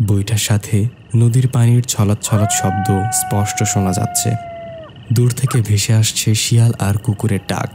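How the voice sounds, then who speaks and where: A man playing a bold, mischievous young man who narrates and acts in an animated horror tale. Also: fairly low pitch, moderate pace, background noise. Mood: suspenseful. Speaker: narrator and character. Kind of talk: scripted horror cartoon narration and dialogue.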